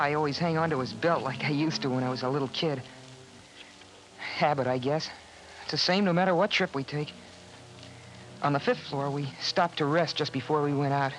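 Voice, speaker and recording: A male actor playing an earnest, excitable boy in a 1950s post-apocalyptic radio drama. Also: fairly high pitch, lightly acted, rough recording. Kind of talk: 1950s radio drama acting, with first-person narration. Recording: vintage 1950s radio recording, music behind.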